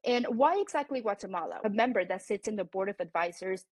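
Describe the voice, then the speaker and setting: A Colombian American woman whose first language is Spanish talks earnestly as a company founder. She stumbles and repeats words as she goes, at a mid pitch for a woman. The recording is close and dry, with no room sound. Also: lively intonation, fast talker, a little mellow, no room sound. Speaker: founder and CEO of a tutoring company. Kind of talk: podcast guest explaining her business.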